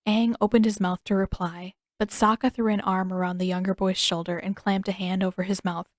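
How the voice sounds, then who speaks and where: Woman reading a story audiobook style, mid pitched voice, dry recording no room sound. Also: level tone, brisk, no room sound. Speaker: audiobook narrator. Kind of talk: scripted fiction narration.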